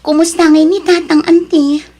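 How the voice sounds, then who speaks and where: A woman acting a high-voiced, coy young mistress. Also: level tone, moderate pace, crowd noise. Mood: caring, worried. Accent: Ilocano. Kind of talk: melodramatic radio drama acting.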